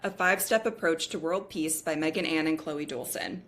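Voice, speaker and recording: A woman reads stage directions from a screenplay. Her voice sits somewhat low for a woman. It is scripted narration for a table read. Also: moderate pace, a bit bright.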